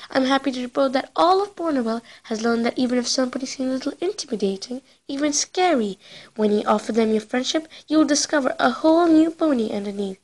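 A bookish young pony princess , acted by a woman, speaks in a high voice. She is earnest and excitable, giving her lines dramatic emphasis in a cartoon style. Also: low-quality recording. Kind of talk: cartoon character acting.

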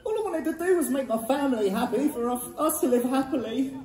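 Woman as pantomime boy hero: British, very high voice, naive, excitable, earnest, echoey stage sound. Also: quick pace. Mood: dejected. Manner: earnest, excitable, exaggerated stammering when flustered. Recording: echoey theatre hall, faint backing music.

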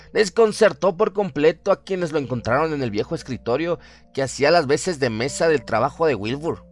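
A man narrates a horror tale in a scripted radio serial style. His voice sits at an ordinary male pitch, with music playing behind it.

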